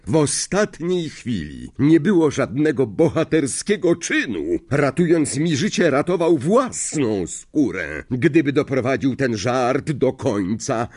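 A man's voice: mid-range voice, a little mellow, breathy edge, voice pushed up, full character voice. A man voicing a vindictive, sneering male schoolteacher in an audiobook, menacing and sarcastic, drawing out his words to mock and barking orders. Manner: drawn-out, mocking, barking orders. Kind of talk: audiobook character acting.

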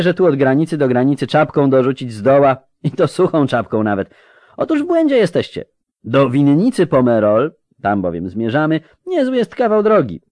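A man's voice: medium pitch, a little mellow, no room sound, clean studio sound, voice pushed up, natural voice. Casting: male reader as blunt country estate steward, old-fashioned rustic talk, raised and bouncy intonation. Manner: folksy, archaic country speech.

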